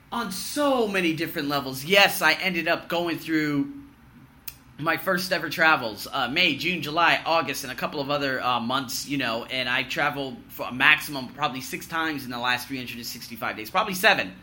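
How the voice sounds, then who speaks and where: A man in his thirties giving a blunt, emphatic year-in-review vlog monologue, swinging between loud boasts and low muttered asides, with the odd swear word. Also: moderate pace. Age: 30s. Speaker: vlogger.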